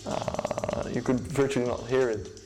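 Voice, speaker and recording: A young man with a Dutch accent, a music producer and DJ, giving a casual, low-key account of his work. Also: fairly even intonation, a little slow, a little mellow. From Netherlands.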